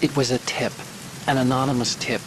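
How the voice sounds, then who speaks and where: A man's voice: mid-range voice, brisk, natural voice. A man voicing a hesitant, anxious hacker. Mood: worried. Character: nervous male hacker ally on radio. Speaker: tech support on radio. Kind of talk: video game cutscene dialogue. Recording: game audio over steady rain noise. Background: rain.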